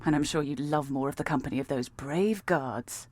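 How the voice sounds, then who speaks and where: A woman's voice: low voice, normal speed, darkish tone. An actress playing a stern, sardonic female assassin in a video game, with a dry, threatening delivery.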